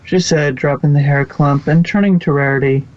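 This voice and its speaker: Higher-pitched man narrating, slightly muffled. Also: fairly even intonation, normal speed, darkish tone. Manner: stumbles and rereads words. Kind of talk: scripted story narration of fan fiction.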